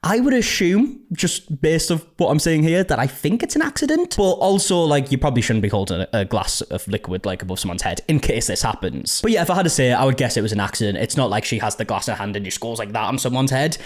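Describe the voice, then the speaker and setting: Male commentary host, quick and chatty, hedges a lot, mid-pitched. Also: big pitch swings. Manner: chatty, hedging, reads out comments. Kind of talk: reaction commentary.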